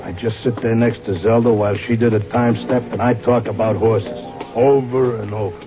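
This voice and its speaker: A man's voice: mid-range voice, steady tone, moderate pace, natural voice. An actor playing a cynical, streetwise racetrack gambler telling his strange tale in an old radio drama, colloquial and wisecracking. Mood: haunted recollection. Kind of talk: old radio drama first-person narration. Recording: dull vintage radio recording with music.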